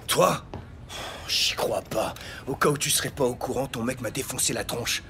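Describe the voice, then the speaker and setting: A man's voice: medium pitch, moderate pace, close to an everyday voice. A man playing a wary, beaten-up street thug in a French audio crime drama, guarded, laconic and slangy. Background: loud music.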